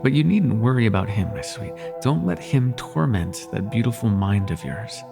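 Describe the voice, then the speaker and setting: A man's voice: fairly low pitch, expressive, normal speed, gravelly, music in the background. A man playing a suave, teasing demon prince of nightmares in an intimate audio roleplay, with courtly, lingering delivery. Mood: sly, soothing.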